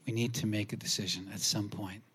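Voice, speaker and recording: A man preaching as pastor, speaking in short phrases and pausing so an interpreter can follow. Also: on the low side, steady tone, fairly bright tone, breathy edge. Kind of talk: sermon delivered in English with live interpretation.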